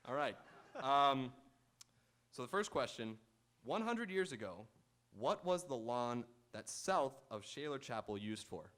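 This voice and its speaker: A man's voice: mid-range voice, big pitch swings, a little slow, fairly bright tone. A man hosting a live game show on stage, bantering playfully and reading announcements to the audience. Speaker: quiz show host. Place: auditorium stage.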